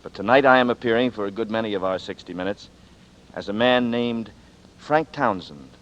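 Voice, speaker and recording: A man hosting a radio drama, giving a measured, conversational scripted introduction. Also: on the low side, fairly bright tone, a little hiss or hum. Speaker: host and star. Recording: dull vintage radio recording.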